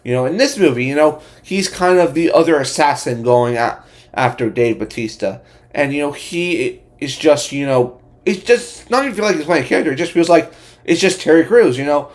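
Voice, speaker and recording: A man hosting a casual movie review, speaking bluntly in a halting, repetitive way with frequent restarted phrases. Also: on the high side, expressive. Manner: opinionated, blunt. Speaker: host of a movie review channel.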